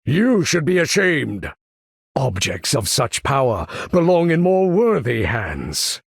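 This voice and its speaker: A proud older mutant commander in a video game, slow and deliberate, with a husky rasp. He speaks with formal, theatrical grandeur and lofty disdain, swinging widely in pitch. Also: sing-song, dry recording, studio quality, exaggerated voice. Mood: cold disdain. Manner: formal, theatrical, measured, condescending delivery. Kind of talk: video game character voice lines.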